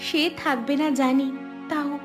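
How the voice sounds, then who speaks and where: Woman as a high-voiced, wistful young village bride. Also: fairly even intonation, measured pace, soft background music. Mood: wistful, worried. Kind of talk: cartoon voice acting.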